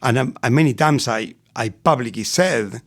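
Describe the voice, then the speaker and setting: A middle-aged man from Argentina with an Argentinian accent, a guest in an interview who tells lively stories and laughs often. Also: mid-range voice, moderate pace. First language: Spanish. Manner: animated, laughs often, acts out voices in anecdotes. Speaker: restaurant executive guest. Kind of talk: storytelling interview.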